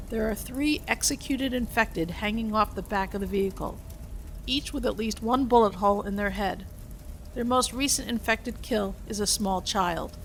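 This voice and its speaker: A woman's voice: a little high, rough recording. A woman reading a scripted story aloud as its narrator. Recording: faint background noise.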